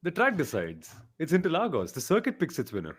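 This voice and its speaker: Animated Indian man on a podcast. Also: a little high, brisk, breathy edge. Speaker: podcast panelist. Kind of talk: casual motorsport banter.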